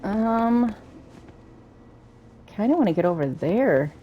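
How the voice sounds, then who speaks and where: A woman's voice: medium pitch, expressive, takes it slowly, dull audio. Chatty woman gamer, noisy background. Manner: chatty, thinking aloud. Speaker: gaming video host. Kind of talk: casual let's play commentary, reading game text aloud.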